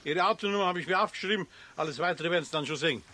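A man's voice: a little high, very fast, sharp tone. A man playing a stern, fussy forestry official in a children's radio play, emphatic, with a southern German accent. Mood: indignant. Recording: faintly noisy 1970s radio recording.

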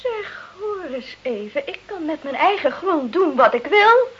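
A woman's voice: very high voice, sing-song, unhurried, fairly bright tone, full character voice. An actress playing an emotional, stubborn Italian village wife and mother in a Dutch radio drama. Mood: insistent, defiant. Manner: emotional, pleading, insistent. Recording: dull, lo-fi old radio play recording.

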